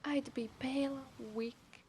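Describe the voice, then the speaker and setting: A woman's voice: high voice, steady tone, darkish tone, a little hiss or hum. A woman with an Italian accent playing an obsessive, seductive mermaid in a teasing ASMR roleplay, sometimes slipping into whispers. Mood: wistful. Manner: slow, teasing, lapsing into whispers.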